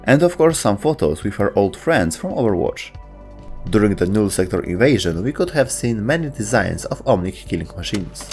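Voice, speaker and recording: Male video narrator, slightly low voice, animated intonation, scripted explainer delivery, light music underneath.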